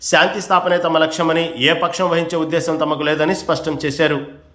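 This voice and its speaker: A man's voice: a little high, flat delivery, brisk, a bit bright, roomy sound, faint music behind. A man reading scripted news narration in a formal, emphatic manner.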